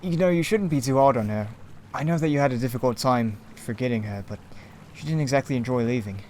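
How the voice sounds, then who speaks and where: A man's voice: medium pitch, quick pace, a little mellow, slight background noise, natural voice. A young man acting a nervous, evasive youth with a hesitant delivery in an amateur Minecraft roleplay. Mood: inquisitive. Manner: hesitant, stammering.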